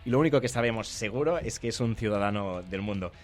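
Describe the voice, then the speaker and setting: A man's voice: fairly low pitch, lively intonation, fast, soft background music. A man with a Catalan accent co-hosting a casual talk show, introducing guests and asking questions. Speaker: co-host.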